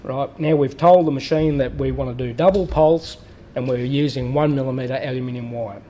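A man's voice: mid-range voice, fairly even intonation, normal speed, dark tone. A man in a workshop explaining a welding machine step by step, plain-spoken with fillers and restarts. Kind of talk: hands-on technical explanation.

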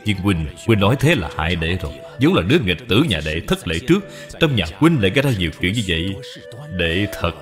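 A man's voice: sits low, very animated, brisk, pitched below the performer's own voice. A man voicing a severe, proud middle-aged father in a cartoon, speaking formally. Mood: ashamed. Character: stern, proud father scolding his child, middle-aged scholar. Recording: long reverb, background music.

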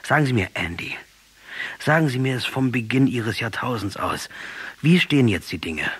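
An actor playing a defiant, passionate, sardonic captured guerrilla commander in a German radio drama, combative and mocking, with a husky voice. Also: male voice, mid-range voice, animated, moderate pace, fairly bright tone, no echo. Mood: accusing, pleading. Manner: combative, mocking, rhetorical, insistent.

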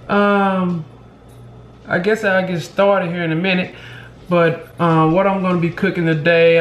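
A man's voice: a little high, a little hiss or hum. A man, chatting casually to the camera while he cooks in his home kitchen. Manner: laid-back, chatty, drawn-out filler words. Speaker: vlogger cooking at home. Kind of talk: casual cooking vlog, talking to camera. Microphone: camera on the counter, several steps away. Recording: roomy kitchen echo, distant camera mic, loud background music.

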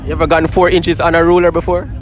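A young man with a Jamaican accent doing a playful street interview, teasing and animated, outdoors at a car show with a handheld mic. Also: on the high side, rapid-fire. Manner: teasing, animated, exclaims in patois. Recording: faint outdoor noise.

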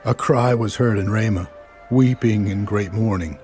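A man's voice: on the low side, moderate pace, darkish tone, lightly acted. A man acting a mournful, solemn prophet reciting a lament in an audio Bible drama. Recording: faint music behind the voice.

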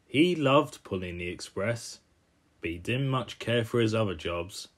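A man's voice: lowish voice, lively intonation, a little slow. A man narrating in the manner of a children's storybook.

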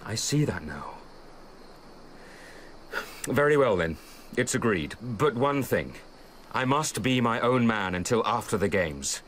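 A man's voice: lowish voice, very animated, a little slow, pauses often, a bit bright. An actor playing a proud, generous young nobleman of ancient times in a radio drama, with a grave, declamatory delivery. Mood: resolute. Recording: radio drama with faint background noise.

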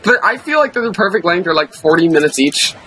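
Young streamer, bright animated chatter, teasing tone. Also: male voice, high-pitched, fast talker, low-quality recording. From Memphis. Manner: playful, teasing, swears while racing. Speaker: livestream co-host. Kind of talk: casual gaming livestream chat.

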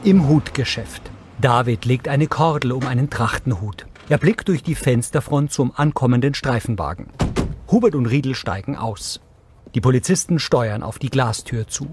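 A man's voice: medium pitch, lively intonation, moderate pace, darkish tone, slight background noise. A man reading scripted, neutral audio description for a drama.

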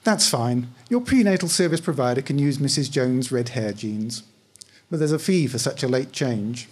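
Man reading as husky, officious female official. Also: mid-range voice, expressive, normal speed. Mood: brisk, businesslike. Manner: polite, scripted customer-service sympathy. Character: politely apologetic, officious female parenthood officer.

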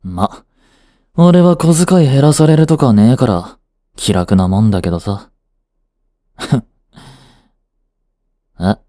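A man's voice: medium pitch, slow, pauses often, mellow, dry recording, natural voice. A man acting an aloof, dry but secretly fond teenage boyfriend in a romantic voice drama, speaking in a cool, curt, teasing way. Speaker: voice actor. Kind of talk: romantic situation voice drama, one-sided dialogue.

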